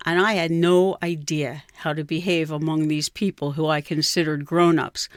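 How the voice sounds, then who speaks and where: A woman's voice: low voice, no echo, studio quality. A woman reading her own personal story as scripted narration. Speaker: writer reading own memoir.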